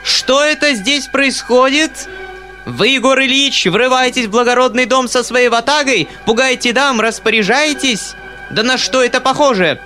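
Guy doing a screechy furious old-money lady, way higher than his normal voice, yelling at everyone, music behind. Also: lively intonation, fairly quick. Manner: screeching, scolding. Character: shrieking furious middle-aged noblewoman.

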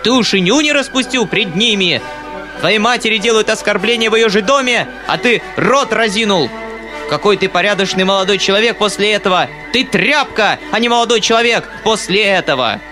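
A man's voice: sits high, animated, a little fast, pitched above the performer's own voice. A man voicing a furious middle-aged noblewoman who screeches and scolds, with music playing behind.

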